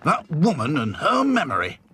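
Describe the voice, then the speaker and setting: A man's voice: a little high, huge pitch swings, normal speed, background noise, pitched above the performer's own voice. A man voicing a grumpy, harried factory boss who frets and complains. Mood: fretful. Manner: fretful, grumbling.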